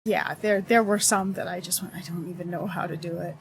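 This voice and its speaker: A woman's voice: lowish voice, steady tone, brisk, creaky, a little hiss or hum. A woman talking as a guest in a casual interview, thoughtful and searching for her words. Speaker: accessibility consultant, guest.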